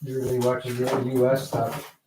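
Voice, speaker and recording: A man rambling casually on a livestream, halting and digressive as he thinks aloud while showing his purchases. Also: mid-range voice, completely flat delivery, noisy background. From Canada.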